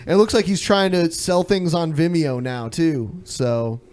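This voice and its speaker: A man's voice: fairly high pitch, expressive, a little slow, darkish tone, clean tone. A man co-hosting a podcast, chatting casually and informally with some swearing. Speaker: podcast co-host. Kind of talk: casual chat.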